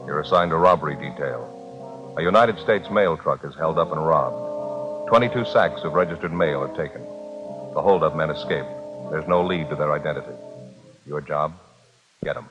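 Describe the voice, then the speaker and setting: A man announcing in a solemn, measured, formal 1950s radio style, reading scripted narration. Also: very deep voice, music in the background. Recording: dull 1950s radio recording, husky sound.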